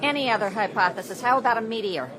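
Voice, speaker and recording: Woman acting probing female reporter, higher pitch, direct questioning, radio drama, clear crowd murmur behind. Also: fast talker, lightly acted, low-quality recording. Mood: inquisitive.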